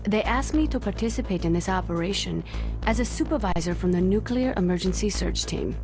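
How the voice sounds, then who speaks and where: An actress playing an earnest, determined nuclear weapons analyst in a video game, formal and serious. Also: female voice, mid-range voice, a little fast, over music, lightly acted. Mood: formal, resolute. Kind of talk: video game cutscene voice acting.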